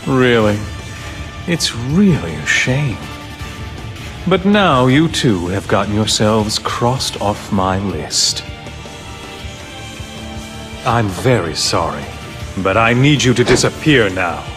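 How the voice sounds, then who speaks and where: A man playing a calculating middle-aged director, a coldly grandiose game villain who speaks in a theatrical, condescending, measured manner. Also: lowish voice, very animated, music in the background. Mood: coldly mocking. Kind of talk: dramatic game cutscene acting.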